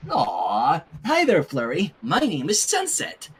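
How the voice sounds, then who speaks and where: A man reads as an easygoing teenage girl in a cartoon fan story. Her voice sits high and moves around a lot. She comes across as caring, friendly and reassuring. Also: huge pitch swings, slow, breathy edge, full character voice.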